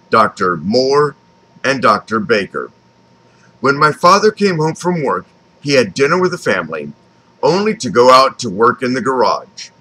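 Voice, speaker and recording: Male memoir narrator, fifties, American accent. Bright, animated intonation, deliberate scripted read. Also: medium pitch, slightly rough, poor audio. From Texas, United States. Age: 50s. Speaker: author reading his own memoir.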